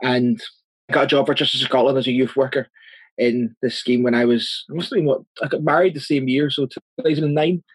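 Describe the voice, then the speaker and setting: A man's voice: medium pitch, steady tone, a little fast, husky, no room sound, rough recording. A man in his thirties with a Northern Irish accent, answering interview questions as a church planter, earnest and chatty, with frequent restarts. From Northern Ireland.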